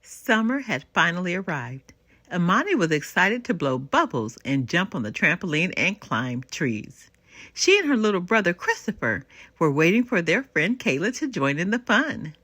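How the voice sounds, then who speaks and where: An older woman reading a children's story aloud in an expressive, emphatic storyteller's manner. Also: fairly low pitch, moderate pace, no room sound. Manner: animated, emphatic delivery.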